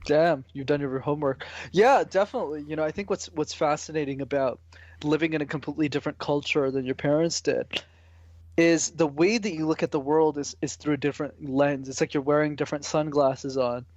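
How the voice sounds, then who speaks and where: A man from Canada answering interview questions casually and relaxed, using mild slang. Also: fairly high pitch, a little fast, lo-fi sound. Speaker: guest, public speaking coach.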